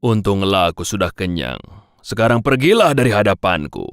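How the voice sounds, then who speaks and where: A man's voice: on the low side, sing-song, normal speed, clean tone, dry recording. A man voicing a proud, menacing lion king in a children's cartoon fable, speaking in an imposing, scornful way. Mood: dismissive. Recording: music behind the voice.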